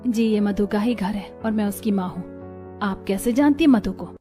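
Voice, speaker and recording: A woman's voice: medium pitch, normal speed, warm tone, soft background music, natural voice. A woman playing a humble, dignified mother of a poor family in a cartoon story, earnest and polite. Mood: earnest, hurt.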